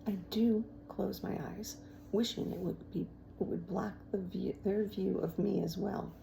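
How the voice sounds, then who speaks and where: Woman teacher-type narrator for a children's novel, mellow and slow, faint noise behind. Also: mid-range voice, steady tone, creaky, low-quality recording. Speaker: teacher reading aloud.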